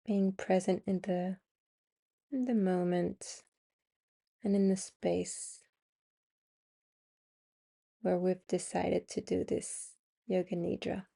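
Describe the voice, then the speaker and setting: Female yoga nidra guide: mellow tone, slow pace, soothing and spacious delivery. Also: fairly low pitch, level tone, creaky. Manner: soothing, unhurried instructions with long pauses. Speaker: meditation guide and podcast host.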